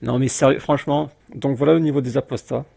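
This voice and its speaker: A man's voice: mid-range voice, fairly even intonation, quick pace, gravelly, dark tone, slightly rough, no echo. A man commenting on a video he plays, dismissive and mocking, often cutting in over the clip. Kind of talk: casual reaction commentary.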